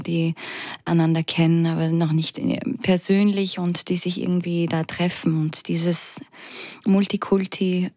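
A woman in her thirties with a low voice and a gravelly edge, recorded close and dry. She answers as an actress guest in a radio interview. She talks thoughtfully and often pauses to find the right word. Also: darkish tone, no echo. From France. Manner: reflective, searching for words with many hesitations.

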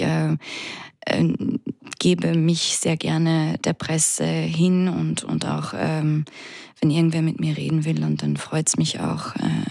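A woman in her thirties with a low voice and a gravelly edge, recorded close and dry. She answers as an actress guest in a radio interview. She talks thoughtfully and often pauses to find the right word. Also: a little mellow, no echo. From France. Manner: reflective, searching for words with many hesitations.

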